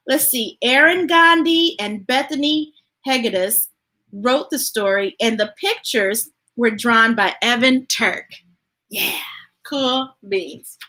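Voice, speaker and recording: Bright, high-voiced woman hosting children's storytime. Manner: informal, folksy, enthusiastic. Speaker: children's storytime host and reader. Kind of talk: reading picture books aloud with chatty asides to online viewers.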